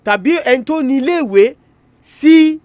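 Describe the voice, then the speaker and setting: A man's voice: very high-pitched, lively intonation, normal speed, a little mellow, slight background noise. A man with a Nigerian Yoruba accent presenting an educational radio talk in an emphatic, repetitive, teacherly manner. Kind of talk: educational radio talk, switching between two languages. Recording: music bed under the voice. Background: music.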